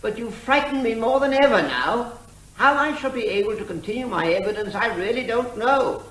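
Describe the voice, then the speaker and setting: A man's voice: very high voice, lively intonation, moderate pace, fairly bright tone, echoey room, poor audio. An actor playing a garrulous, plaintive everyman in the witness box, fussy and nervous in manner. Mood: flustered, plaintive. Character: flustered, garrulous, plaintive ordinary man as court witness. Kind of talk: comic courtroom drama.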